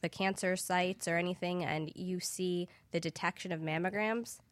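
A woman's voice: lowish voice, steady tone, normal speed, dry recording. A young woman giving matter-of-fact, informative answers as an interview guest explaining a health screening. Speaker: guest, infrared screening practitioner.

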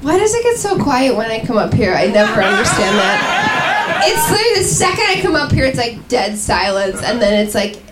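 A woman's voice: fairly high pitch, very animated, moderate pace. A woman hosting a comedy night, introducing comics with rambling, self-deprecating banter. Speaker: comedy show host. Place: comedy club with a crowd. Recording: echoey club, loud crowd and noise.

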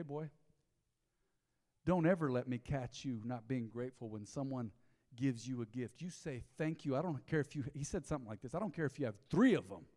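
A man, preaching a sermon in a folksy storytelling way, dropping to low asides and rising to loud emphatic bursts. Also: in a large hall. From Texas. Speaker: pastor. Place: church sanctuary.

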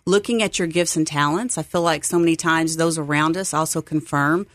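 A woman's voice: sits low, brisk, dry recording, clean studio sound. A middle-aged woman from Texas, speaking as an upbeat, earnest guest in a conversational radio interview. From Texas, United States. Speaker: interview guest, seminary site coordinator.

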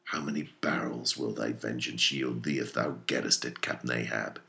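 A principled whaling ship's mate, played by a male narrator, earnest and remonstrating. The delivery is forceful and creaky, a dismayed protest from a dramatic audiobook. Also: rumbling low, fairly even intonation, normal speed, pitched below the performer's own voice, heavily acted. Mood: restrained objection. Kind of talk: dramatic audiobook character reading.

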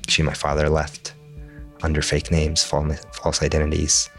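A man's voice: extremely low pitch, monotone, moderate pace, over music. A young man born in Jordan who grew up speaking Arabic, a Spanish teacher answering interview questions thoughtfully and restarting his words often.

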